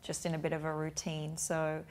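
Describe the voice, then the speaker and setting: A woman hosting a TV talk show, asking gently inquisitive and meandering questions. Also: sits low, completely flat delivery, moderate pace. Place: TV studio.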